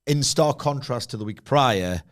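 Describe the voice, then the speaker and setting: Hoarse guy cohosting a chatty podcast, jokey, lots of ums. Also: medium pitch, lively intonation, measured pace. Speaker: podcast co-host. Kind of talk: casual podcast chat.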